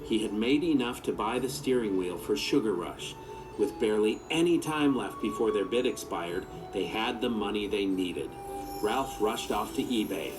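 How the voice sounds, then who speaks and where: A man reading a children's story aloud as its narrator. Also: fairly low pitch.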